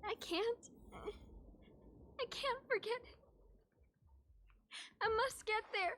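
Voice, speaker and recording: A woman's voice: extremely high pitch, slow pace, fairly bright tone, full character voice. A woman voicing an ailing, earnest young woman in a video game, desperate to find her sweetheart. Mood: distraught.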